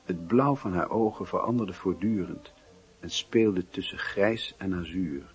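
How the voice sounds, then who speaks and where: A man narrating a wistful literary radio drama as a reflective, measured storyteller with a husky, raspy voice. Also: sits low, faint music behind.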